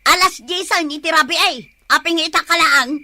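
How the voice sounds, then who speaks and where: A woman's voice: extremely high pitch, brisk, pushed and bright, no echo, full character voice. A woman playing an emotional, suspicious wife in an audio drama, insistent and accusing. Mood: angry, confronting. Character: suspicious jealous wife and mother, quick to confront her husband. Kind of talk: Filipino audio drama acting.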